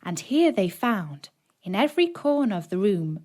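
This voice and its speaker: A woman narrating a scripted children's story in a dramatic, deliberate storytelling manner. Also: medium pitch, big pitch swings, dark tone. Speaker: audiobook narrator.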